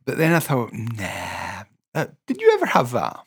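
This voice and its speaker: A man's voice: medium pitch, huge pitch swings, normal speed, fairly bright tone, slightly rough. A middle-aged Scottish man with a Scottish accent, interviewing in a chatty way and often joking and laughing. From Scotland. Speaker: interviewer, minister. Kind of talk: conversational interview.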